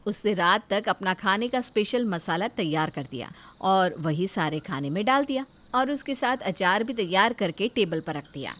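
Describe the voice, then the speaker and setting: A woman's voice: medium pitch, lively intonation, normal speed, fairly bright tone. A woman reading scripted narration for a family story, as its storyteller.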